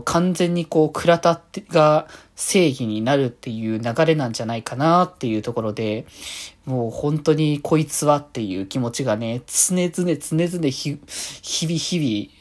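A male podcast host talks on his own in a casual review style. His voice sits at a middle pitch, and his phrasing is polite yet conversational, with sentences often softened by trailing particles. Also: unhurried. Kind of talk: casual monologue reviewing an anime episode.

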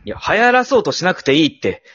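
A man acts out a sceptical, flustered father in a comedy radio drama. The voice is husky and jumps around expressively, always ready to protest. The sound is a little dull. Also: fairly high pitch, wildly swooping pitch, fairly bright tone, full character voice. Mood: subdued, polite. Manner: exasperated, quick to protest.

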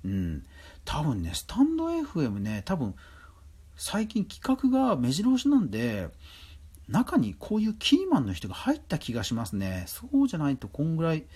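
A man's voice: a little high, wildly swooping pitch, moderate pace, darkish tone, husky. A man hosting a casual solo news roundup, chatting and reacting aloud to each item as he goes. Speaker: podcast host.

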